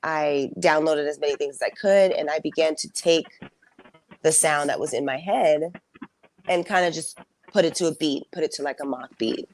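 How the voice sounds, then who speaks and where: A young woman singer telling her story in a casual interview, soft-spoken and reflective, with frequent fillers. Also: sits low, normal speed, dry recording. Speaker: singer and songwriter guest.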